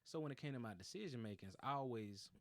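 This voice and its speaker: A man's voice: mid-range voice, animated, fast, no room sound. A man in his late twenties co-hosting a podcast, chatting casually and animatedly, often laughing and acting out his own thoughts. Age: late 20s. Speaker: podcast co-host. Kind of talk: casual chat.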